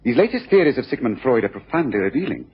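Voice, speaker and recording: An actor playing a shrewd, analytical detective in a radio drama, speaking in a precise, formal, probing way. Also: male voice, medium pitch, very animated, fast talker, slightly rough. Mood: confident, probing. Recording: muffled lo-fi radio recording.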